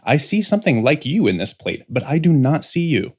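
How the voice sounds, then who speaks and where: A man's voice: on the high side, very animated, a little fast, husky, heavily acted. A man acts out a grown son in a science fiction audiobook. He is restless and rebellious, pressing his case with earnest intensity, his intonation rising and falling widely. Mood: defiant, insistent. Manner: insistent, intense, pleading. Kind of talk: full-cast audiobook acting.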